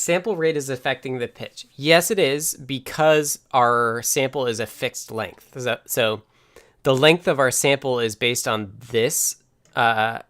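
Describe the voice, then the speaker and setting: A man casually talking through live coding and electronics as a stream host, halting as he thinks aloud. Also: medium pitch, fairly bright tone. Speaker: livestream host and software developer.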